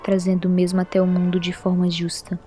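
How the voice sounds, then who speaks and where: A woman's voice: on the low side, completely flat delivery, brisk, warm tone, soft background music, lightly acted. A woman playing a solemn cult mother in an audio drama, preaching in a ceremonial, declamatory way.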